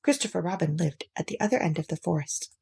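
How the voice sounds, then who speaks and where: A woman reading a children's story aloud in an expressive narrating style. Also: fairly low pitch, fast talker, vocal fry, slightly rough. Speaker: story reader.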